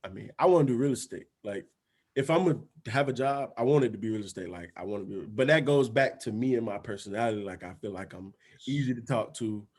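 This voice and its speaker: A man's voice: on the low side, fast talker, darkish tone, husky. A man hosting a casual podcast chat, talking in a laid-back, slangy way, swearing often and asking "you feel me". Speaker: podcast host.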